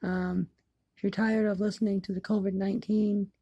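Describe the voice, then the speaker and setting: A woman chatting casually to viewers as a hobbyist video maker, in a halting, repetitive, plain-spoken way. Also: mid-range voice, level tone, mellow, breathy edge, no room sound, dull audio. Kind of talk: casual chat to camera. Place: indoors in an apartment, by a window.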